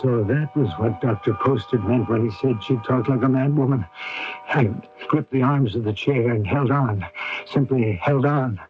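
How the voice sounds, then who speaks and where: A man playing a middle-aged, devoted, anxious father in a radio drama, speaking in a halting, earnest, plain-spoken way. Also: medium pitch, music in the background, lightly acted. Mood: somber, reflective. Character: devoted, anxious, simple-minded father of ailing daughter. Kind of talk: 1980s radio drama acting with first-person narration. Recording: dull lo-fi AM radio recording.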